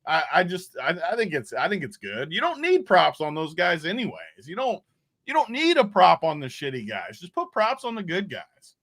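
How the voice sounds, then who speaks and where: A man's voice: high voice, wildly swooping pitch, moderate pace, a bit bright. A man co-hosting a sports betting show, chatting casually and laughing often.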